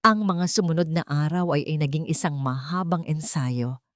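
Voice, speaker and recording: A woman's voice: sits low, normal speed, no echo, studio quality. A woman with a Filipino accent giving dramatic scripted narration of a romance story.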